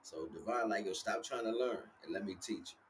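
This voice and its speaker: A man's voice: on the low side, brisk, a little hiss or hum. A man giving a casual, freeform spiritual talk as a livestream host, repeating himself and often checking that listeners follow. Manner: repeats himself with frequent conversational check-ins. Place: outdoors.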